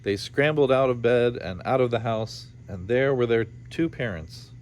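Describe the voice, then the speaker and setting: Expressive man reading a story, noisy background. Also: medium pitch, normal speed. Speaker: storybook reader. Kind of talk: expressive read-aloud narration with asides.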